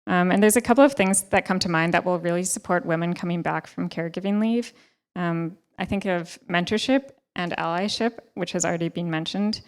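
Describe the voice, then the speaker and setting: A young woman gives advice from a panel stage, speaking into a handheld mic in a ballroom. Her voice is smooth and sits a little low, and her delivery is earnest and measured. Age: young adult. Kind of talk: panel discussion talk.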